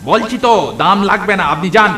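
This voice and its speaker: A man playing a folksy, deferential village tea-stall keeper in a horror cartoon, with a creaky voice. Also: sits high, expressive, normal speed, bright, forceful tone, echoey room, soft background music. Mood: frightened, agitated. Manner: folksy, deferential, stammers when afraid. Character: chatty village tea-stall keeper who turns frightened. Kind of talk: horror cartoon story acting.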